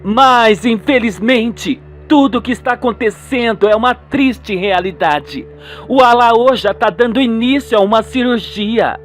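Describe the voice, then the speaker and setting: Man voicing an anguished mother, high-pitched. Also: measured pace, soft background music. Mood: sad, resigned. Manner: dramatic, anguished. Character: distressed middle-aged mother at her husband's hospital. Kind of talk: radio soap acting.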